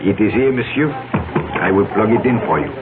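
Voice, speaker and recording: An actor playing a courteous, servile French waiter with a French accent in a radio drama. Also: male voice, on the low side, normal speed, loud music behind the voice, lightly acted. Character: polite, servile male French restaurant waiter. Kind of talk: 1970s radio drama acting. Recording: narrow-band muffled radio recording.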